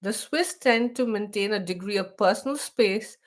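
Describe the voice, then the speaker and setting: A woman's voice: medium pitch, moderate pace. A middle-aged woman from India with an Indian accent, hosting a casual live stream for expats, chatty, often restarting her sentences and reading text aloud.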